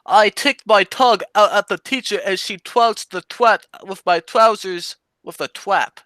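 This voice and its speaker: A man's voice: sits high, measured pace, sharp tone, no echo. A man playing a silly, dim-witted wisecracking sidekick in a 1940s-style radio comedy. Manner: silly, cheeky wordplay.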